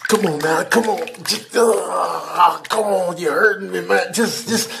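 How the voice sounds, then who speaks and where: A man's voice: high-pitched, unhurried, gravelly, background noise, full character voice. A man voicing a stressed zebra who pleads repetitively and streetwise with a predator in a comedy animal dub. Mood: pleading.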